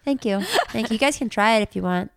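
A woman with a high voice in a casual chat, reacting often and speaking hesitantly. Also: fast, vocal fry, slight background noise. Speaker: podcast co-host.

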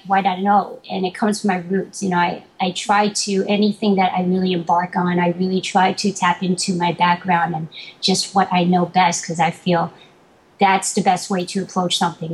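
Thoughtful young woman, halting. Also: on the low side, poor audio. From Boston, United States. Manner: thoughtful, many fillers and restarts. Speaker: interview guest, martial artist. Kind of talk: casual interview talk.

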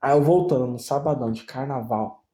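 A young man chatting casually as a co-host, slangy and animated, swearing freely. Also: mid-range voice, brisk. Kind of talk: casual chat. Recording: echoey room.

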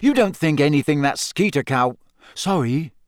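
A man's voice: a little high, expressive, moderate pace, dry recording, pitched above the performer's own voice, close to an everyday voice. A man performs a teenage schoolboy in a storybook. He is earnest and loyal, a bit awkward, and blurts things out plainly. The sound is close and dry.

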